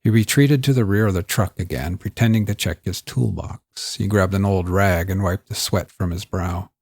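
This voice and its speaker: A man reading scripted crime fiction in a measured, audiobook-style storytelling delivery. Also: sits low, creaky, a little mellow, slightly rough, no echo, studio quality. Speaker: narrator of a serialized crime story. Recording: music bed under the voice. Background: music.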